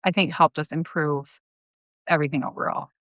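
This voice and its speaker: A woman's voice: sits low, fairly even intonation, fairly quick, dry recording, lo-fi sound. A woman speaking casually and conversationally as a podcast guest. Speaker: podcast guest, audio drama writer.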